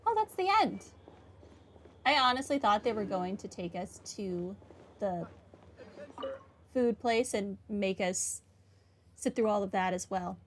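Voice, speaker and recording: A woman's voice: mid-range voice, lively intonation, unhurried, pauses often, slight background noise. A woman commenting casually on a video game and reading its text aloud. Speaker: gamer playing and commenting.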